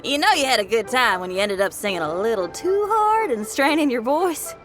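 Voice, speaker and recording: A woman's voice: on the high side, sing-song, fairly quick, music in the background. An actress playing an exuberant young female rock musician in an anime game, breezy and slangy. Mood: elated.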